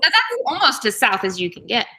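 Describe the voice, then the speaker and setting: A woman's voice: medium pitch, big pitch swings, quick pace, sharp tone. A woman from Oklahoma giving frank, matter-of-fact opinions in a casual book club chat.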